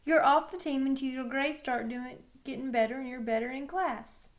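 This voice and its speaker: A stern school football coach, played by a woman reading a storybook, laying down the rules bluntly. The delivery is firm and no-nonsense, in a high register. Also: normal speed, lightly acted. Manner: flat, firm. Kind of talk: children's novel read aloud.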